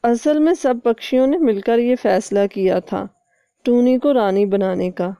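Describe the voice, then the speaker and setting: A woman's voice: a little high, a little slow, gravelly, warm tone, clean tone, dry recording, studio quality. A woman voicing a helpful, gullible male crow in a cartoon, with a trusting, conversational manner.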